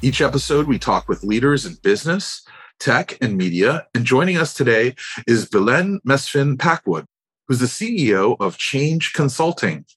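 Man, husky voice, medium pitch, podcast host, informal, encouraging, conversational questioning. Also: big pitch swings, normal speed, fairly bright tone.

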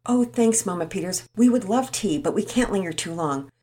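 A woman's voice: mid-range voice, animated, normal speed, mellow, pitched above the performer's own voice, close to an everyday voice. A woman voicing a friendly, busy mother in a storybook reading. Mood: polite.

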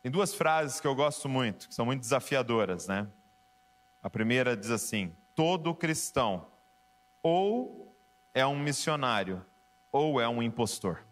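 A man's voice: mid-range voice, animated, unhurried. A man preaching a sermon to a congregation, emphatic and rising to passionate exhortation. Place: church with keyboard behind.

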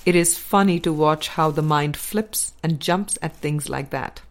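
A woman narrating a spiritual dialogue book as a scripted audiobook reading, with measured, deliberate phrasing and pauses. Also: sits low. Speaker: audiobook reader.

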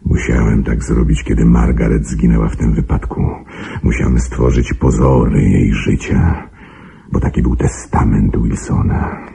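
A calculating murderer in a radio drama. His extremely low, dark voice is thick with creak and rasp as he justifies himself with menacing regret. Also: level tone, measured pace, exaggerated voice. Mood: self-justifying. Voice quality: very deep, gravelly, husky. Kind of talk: radio play acting.

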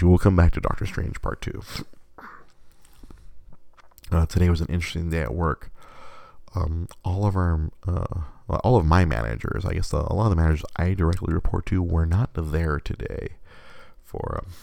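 A man hosting a casual live podcast while sick, with a congested voice and extra bass from his illness, chatting in a rambling, self-correcting way. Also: gravelly, warm tone, no echo. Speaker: podcast host.

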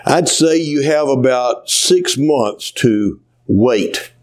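A man voicing a gentle middle-aged family doctor, speaking calmly. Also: mid-range voice, very slow. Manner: gentle, calm.

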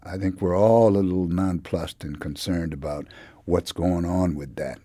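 Man, middle-aged, dark mellow tone, dry studio, measured political commentator, conversational. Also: deep voice, steady tone, husky, dry recording. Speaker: newspaper journalist as panel commentator. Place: radio studio.

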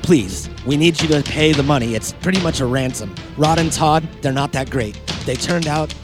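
A man's voice: fairly high pitch, moderate pace, over music. A man hosting a comedy podcast in casual chat, joking, profane and rambling. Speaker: podcast host.

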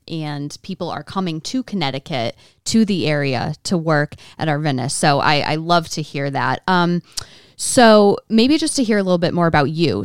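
A woman's voice: low-pitched, clear, resonant tone, no room sound, studio quality. A woman hosting an interview, asking encouraging questions with a few fillers. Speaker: interview host. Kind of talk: conversational interview.

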